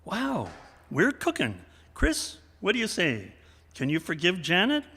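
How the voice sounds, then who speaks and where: A male host with a lively, wide-ranging voice, a little on the high side. He presents a radio variety show with theatrical flair, his words ringing in long hall reverb. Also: a little slow, breathy edge. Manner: animated, showmanlike.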